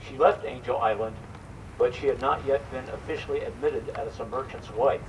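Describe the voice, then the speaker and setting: A man's voice: medium pitch, steady tone, normal speed, natural voice. A man reading scripted narration for a staged historical drama. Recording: distant microphone in noisy live room.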